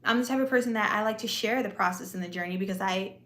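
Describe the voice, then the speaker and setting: A woman's voice: medium pitch, quick pace, fairly bright tone. Woman chatting live to her viewers, soothing voice, says "like" a ton, sounds kinda echoey. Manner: chatty, full of "like" and "you know". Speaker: vlogger and aspiring actress. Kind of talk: live-stream chat with viewers. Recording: echoey room.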